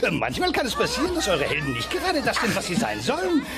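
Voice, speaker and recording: Jolly soft-hearted cartoon hippo, high giggly voice all over the place, loud crowd behind. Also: male voice, wildly swooping pitch, fast, over loud music, heavily acted. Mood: forced cheerful. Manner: forced jolly, giggling and exclaiming. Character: soft-hearted hippo posing as jolly children's show star. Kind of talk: animated children's audio play acting.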